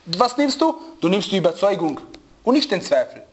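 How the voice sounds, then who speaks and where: A man's voice: high-pitched, big pitch swings, moderate pace, highs cut off, a little hiss or hum. A man teaching a religious lesson to students, emphatic and repetitive, with frequent rhetorical questions, sometimes searching for words. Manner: emphatic, repeats key phrases, asks rhetorical questions.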